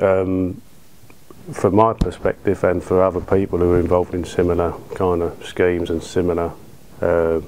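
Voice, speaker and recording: A British man in his thirties talking informally in an interview, in a low-key, colloquial way. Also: a little mellow, a little hiss or hum, low-quality recording. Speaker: cinema owner being interviewed. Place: small dark cinema auditorium, indoors. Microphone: lapel.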